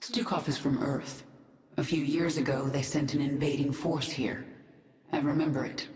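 A woman's voice: rumbling low, steady tone, moderate pace, a little mellow. A woman playing a cool, resolute alien-human swarm queen in a video game, controlled and authoritative. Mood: measured, commanding. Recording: echoing, long cavernous reverb.

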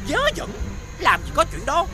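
A man voicing a haughty, greedy rich lord in an animated fairy tale, pompous and bossy. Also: very high voice, moderate pace. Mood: threatening. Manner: pompous, commanding. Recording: loud music behind the voice.

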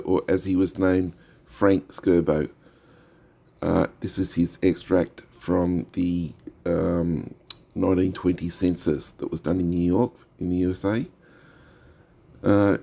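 Casting: middle-aged Australian man, nasal from a head cold, lowish voice, casual unhurried narration. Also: gravelly, dark tone. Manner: slow, thoughtful, with frequent fillers and restarts. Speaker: steam engine collector. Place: home workshop room.

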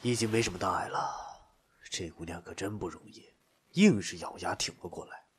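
Man as a husky, deep-voiced doctor. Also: fairly quick. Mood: reassuring. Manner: matter-of-fact, reassuring. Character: plain-spoken male doctor. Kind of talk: audio drama acting.

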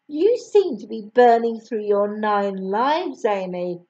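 A woman's voice: medium pitch, animated, slow pace, warm tone, highs cut off. A woman voicing a smirking, taunting schoolboy bully in a storybook reading. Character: smug mean schoolboy bully.

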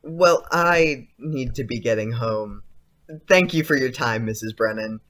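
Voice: mid-range voice, expressive, measured pace, fairly bright tone. An actor playing a polite, skeptical, uneasy schoolboy in an audio play, speaking hesitantly. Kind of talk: audio drama acting.

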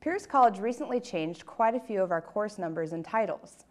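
A woman's voice: medium pitch, expressive, normal speed, faint music behind. A woman presenting a scripted segment introduction as a program host.

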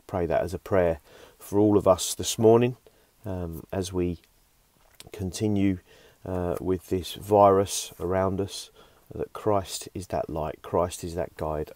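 Deep, slightly croaky middle-aged man. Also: a little mellow, dry recording. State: recovering from a cough. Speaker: church youth pastor. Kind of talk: informal online devotional talk. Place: inside a camper van.

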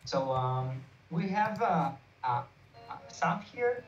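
A man's voice: a little high, big pitch swings, takes it slowly, lo-fi sound. Man hosting online, roomy and distant. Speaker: host of a game jam showcase. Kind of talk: casual live presenting with questions to guests. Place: online video call. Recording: roomy, distant, band-limited call over music.

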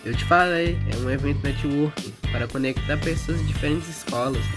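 A man's voice: fairly high pitch, a little fast, natural voice. A man with a Brazilian accent voicing an easygoing, girl-chasing teenage party boy in a manga fan dub. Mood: upbeat. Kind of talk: dramatic fan dub dialogue. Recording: loud music behind.

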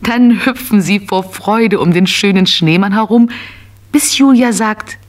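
A woman narrating a scripted children's tale in an expressive, simple storytelling manner. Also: medium pitch, unhurried, close to an everyday voice.

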